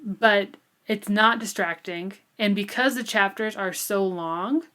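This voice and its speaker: A woman's voice: mid-range voice, slow pace, fairly bright tone. A woman co-hosting a podcast book discussion in a casual, informal way, thinking aloud as she talks. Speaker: podcast co-host.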